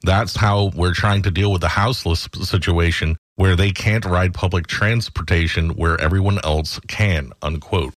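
A man's voice: deep voice, dry recording, clean studio sound. An American man reading scripted news headlines as a radio anchor.

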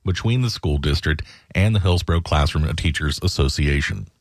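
A man's voice: a bass voice, moderate pace, no room sound, clean studio sound. A man reading a scripted radio news report as a reporter.

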